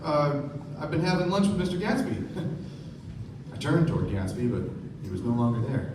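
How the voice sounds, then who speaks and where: Earnest young narrator, very echoey. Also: male voice, fairly high pitch, sing-song, moderate pace. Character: earnest, naive young man narrating his story. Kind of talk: live radio play narration and dialogue. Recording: echoey stage, distant mic, noisy room.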